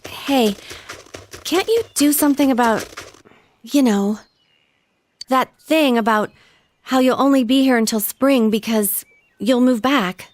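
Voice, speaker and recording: A woman's voice: sits high, slow pace, long pauses. A woman voicing a bubbly, proud but clingy teenage schoolgirl in an anime video game, girlish and emotive. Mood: anxious, pleading.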